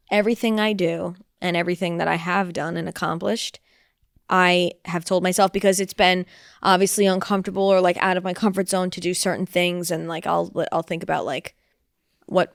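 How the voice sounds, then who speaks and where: A woman's voice: lowish voice, little pitch movement, a little fast, creaky, no room sound, studio quality. A young woman co-hosting a podcast, talking casually in an emphatic, blunt way. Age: young adult. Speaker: podcast co-host. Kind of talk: casual group chat.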